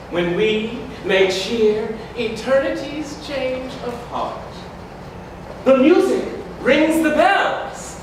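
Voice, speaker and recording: A performer playing a festive, ceremonious god of lovers, declaiming florid verse in drawn-out phrases at a staged reading. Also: mid-range voice. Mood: jubilant, ceremonious. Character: Hemeros, grandly festive male deity of lovers, ceremonious herald. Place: community hall stage before an audience. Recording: echoey hall, distant mic, noisy.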